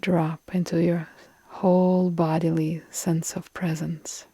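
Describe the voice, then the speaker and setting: A woman leads a guided yoga nidra relaxation in a low-leaning, mellow voice. She is calm and soothing, leaving long pauses, and her soft phrase endings turn breathy. The delivery stays very even, with hardly any melody. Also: dry recording.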